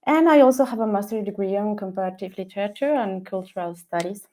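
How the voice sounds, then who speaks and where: A woman from Ecuador, giving a student presentation over a video call in halting, English. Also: medium pitch, dark tone. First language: Spanish. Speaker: data analytics student presenting.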